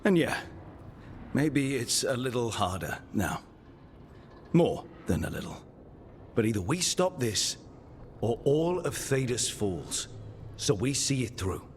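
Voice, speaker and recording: An actor playing a steady, resolute leader in a video game, speaking earnestly and firmly to encourage his team. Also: medium pitch, slow, pauses often, a little hiss or hum. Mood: resolute, encouraging. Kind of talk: cinematic video game voice acting.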